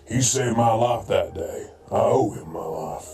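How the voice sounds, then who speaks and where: An actor playing a hearty, joking, heavyset robot ex-soldier turned medic in an audio drama, very expressive. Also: male voice, on the low side, measured pace, distant mic. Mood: earnest, grateful. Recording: roomy, off-mic, music behind.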